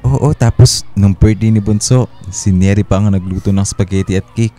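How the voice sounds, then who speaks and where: A man's voice: fairly low pitch, steady tone, moderate pace, dark tone, natural voice. An actor playing an affectionate husband and father in a radio drama. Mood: cheerful. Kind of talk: Filipino radio drama acting. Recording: music behind the voice.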